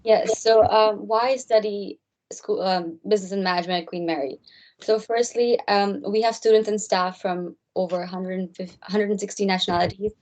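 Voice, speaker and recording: A woman's voice: medium pitch, steady tone, normal speed, a little mellow. A young woman from India, a third-year student, presenting in a friendly, earnest way with some hesitant fillers. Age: young adult. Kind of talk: informal presentation.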